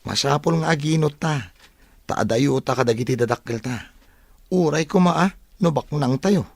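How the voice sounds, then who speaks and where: A man's voice: fairly high pitch, normal speed, creaky, dry recording. A man playing a thrifty young student from the province, chatting with his friend in a radio drama. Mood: casual, practical. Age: young adult.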